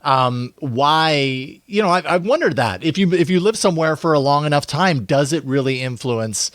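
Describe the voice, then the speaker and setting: A man's voice: a little high, animated, normal speed, fairly bright tone, dry recording, clean studio sound. A man hosting an interview, curious and engaged, asking follow-up questions. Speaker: podcast host. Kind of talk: casual interview chat.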